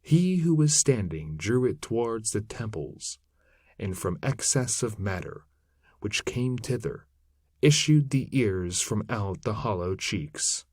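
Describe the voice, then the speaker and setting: A man reading classic epic verse aloud as a narrator, in a measured, declamatory manner. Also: lowish voice, expressive, a little mellow, no echo, clean studio sound. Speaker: reader of classic poetry. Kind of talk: literary poetry narration, read aloud.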